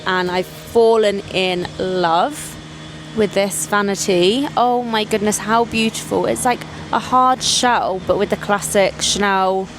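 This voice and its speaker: A young woman talks easily to camera in a relaxed vlog, her pitch sitting in a middle range for a woman. She sounds chatty and upbeat, with plenty of casual fillers. Faint traffic noise hums behind her. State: voice going, getting sick. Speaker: vlogger.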